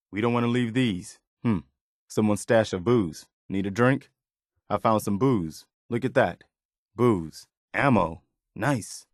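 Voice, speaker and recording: A man's voice: lowish voice, dry recording, studio quality. An actor playing an earnest, dutiful militiaman in a post-apocalyptic video game, sincere, reassuring and plain-spoken. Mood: casual, practical. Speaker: video game companion character. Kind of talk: scripted game dialogue lines.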